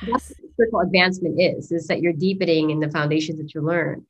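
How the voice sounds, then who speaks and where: A woman's voice: deep voice, steady tone, fast talker, low-quality recording. A woman hosting an interview, musing aloud with enthusiasm and lots of fillers. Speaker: summit host. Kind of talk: interview hosting, spiritual talk.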